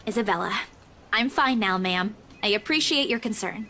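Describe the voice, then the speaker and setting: An actress playing an earnest, deferential young real estate agent in a video game, courteous and slightly hesitant. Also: female voice, mid-range voice, animated, normal speed, sharp tone. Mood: polite, guarded.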